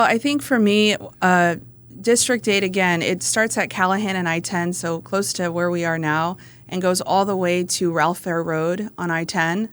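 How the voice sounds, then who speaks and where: A woman's voice: fairly low pitch, clean tone. A woman from San Antonio, Texas, a city council member, talking through a casual panel conversation and telling stories about the residents she met. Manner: storytelling, acts out voices of residents.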